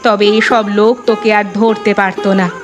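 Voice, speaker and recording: A woman's voice: medium pitch, little pitch movement, moderate pace, lightly acted. A woman playing a sorrowful but resolute elderly village mother in a melodramatic cartoon, plaintive and exhorting. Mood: bitter, sad. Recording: music behind the voice. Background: background music.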